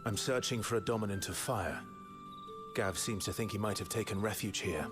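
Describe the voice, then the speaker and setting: A man plays a determined young warrior in a fantasy game. He is grim and sparing with words, pitched low and restrained. Music plays clearly behind him. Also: normal speed, lightly acted. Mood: guarded, questioning. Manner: terse, serious, low-key. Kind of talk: video game cutscene acting.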